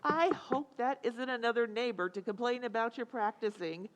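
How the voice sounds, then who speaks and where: A woman's voice: fairly high pitch, moderate pace, lightly acted. A woman playing a wry, long-suffering, teasing wife in old-time radio comedy, homely and dry in her humor. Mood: homely, welcoming.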